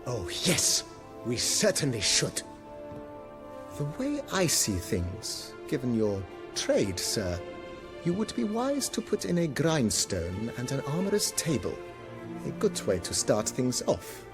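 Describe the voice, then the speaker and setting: A man's voice: mid-range voice, huge pitch swings, takes it slowly, over music, exaggerated voice. An actor playing a courteous, deferential male estate majordomo in a video game, with formal, ornate, servile phrasing. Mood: obliging. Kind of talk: video game cutscene acting.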